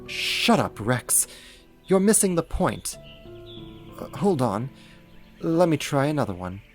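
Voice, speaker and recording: A man's voice: medium pitch, big pitch swings, takes it slowly, soft background music. A man playing a slight, possessive, vain vampire in a roleplay audio drama, sarcastic, haughty and fussy. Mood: flustered. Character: puny snarky jealous male vampire, possessive and vain.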